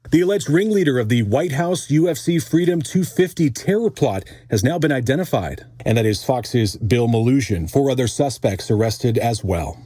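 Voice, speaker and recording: An American man reading a scripted radio news bulletin as anchor, with a calm, measured, authoritative yet conversational delivery. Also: medium pitch, no echo.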